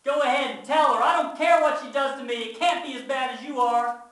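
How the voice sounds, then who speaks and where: A man's voice: very high voice, fairly quick, fairly bright tone, voice pushed up. A man voicing an upset young farm boy in a live-style story, with folksy, indignant outbursts. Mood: defiant, indignant. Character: aggrieved young farm boy, yelling and tearful. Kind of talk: dramatic first-person storytelling. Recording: very echoey room, far from mic.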